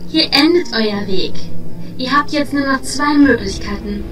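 A woman's voice: high-pitched, measured pace. A woman playing a cold, imperious night-elf sorceress who threatens in a fantasy audio drama. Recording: roomy, distant voice over faint music.

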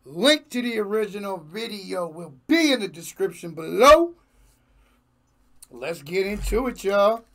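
A young man with a hoarse, gravelly voice pitched on the high side chats slowly and casually as a reaction host. He laughs easily and speaks close to a desk microphone in a small home room. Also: sing-song. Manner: slangy, laid-back, laughs often. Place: small home studio room with jerseys on wall. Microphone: large desk microphone in front.

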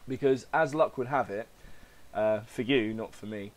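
A man's voice: medium pitch, normal speed. Male podcaster with a slightly crackly throat. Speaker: podcast host. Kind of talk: casual podcast chat and reading aloud.

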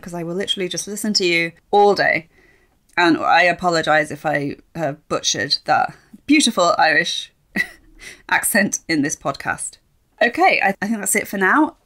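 A woman hosting a solo podcast in a relaxed, chatty way. Her voice sits a little low, and she laughs often as she talks. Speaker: podcast host. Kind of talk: casual solo podcast monologue.

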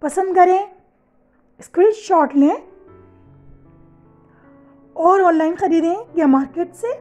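High-pitched chatty woman with a sore throat. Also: slow, dark tone, faint music behind. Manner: chatty, addresses viewers as sisters and mothers. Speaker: fashion channel host. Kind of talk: casual voice-over for a slideshow of products.

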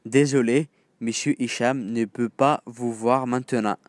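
A man's voice: medium pitch, fairly even intonation, dry recording. Dry, close Egyptian male language teacher. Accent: Egyptian Arabic. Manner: repeats each phrase slowly, then glosses it in quick colloquial asides. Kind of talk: phrase-by-phrase language lesson.

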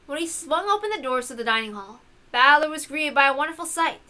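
A woman narrates a visual novel in a high, bright voice. Her delivery is scripted and expressive, like a story read aloud. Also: a little fast.

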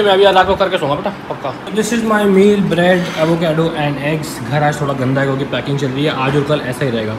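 A man in his forties chatting and joking to a phone camera held at arm's length, at home and while driving in night traffic. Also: a little high. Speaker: vlogger. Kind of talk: casual vlog chat. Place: car at night in city traffic.

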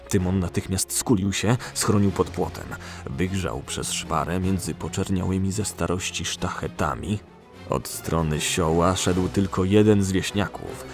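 A man narrating a story in a scripted storytelling style for an audio drama. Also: low-pitched. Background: music.

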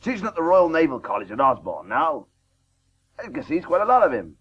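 Blustering old sportsman, husky and swooping. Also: a little high, sing-song, a little fast, a bit bright, full character voice. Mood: jovial. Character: ageing celebrated cricketer, blustering, proud, enthusiastic. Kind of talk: radio drama acting. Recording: dull, restored 1980s radio tape.

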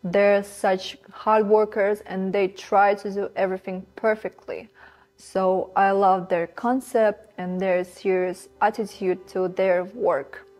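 A woman's voice: medium pitch, flat delivery, takes it slowly, darkish tone, faint music behind. A woman in her twenties speaking candidly in a studio interview. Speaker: singer interviewee. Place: indoor studio. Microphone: lapel mic.

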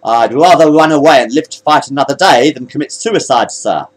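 A man voicing a plain-spoken cyborg war veteran pilot with a sharp voice in a space story. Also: medium pitch, brisk, natural voice, poor audio. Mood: brisk, unyielding.

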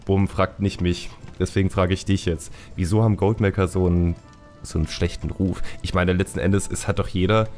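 A man's voice: low voice, little pitch movement, brisk, a little mellow, faint music behind. A man hosting a podcast in casual chat, with a jokey, colloquial way of talking. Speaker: podcast host.

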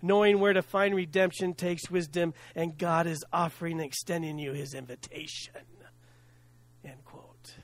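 A middle-aged man preaching a sermon from a church lectern, earnest and unhurried, dropping softly at the ends of phrases and laughing at words he stumbles over. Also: fairly high pitch, fairly even intonation. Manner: earnest, preaching from a lectern with pauses and soft drops; self-deprecating laugh at hard words. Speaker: pastor. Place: church sanctuary with stage and screen. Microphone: stand mic at lectern, filmed from the back.

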